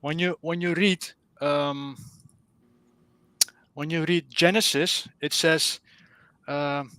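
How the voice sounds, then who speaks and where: Dutch-accented male caller, fairly high and bright, dry close sound, earnest and groping for words. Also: steady tone, takes it slowly, clear, resonant tone, no echo. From Netherlands. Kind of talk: casual explanation on a live stream.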